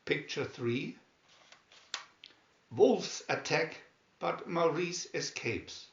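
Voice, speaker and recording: A man reading scripted storybook captions in a deliberate, announcing manner. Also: on the high side, animated. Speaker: narrator reading a picture-reel booklet. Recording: dull, roomy sound.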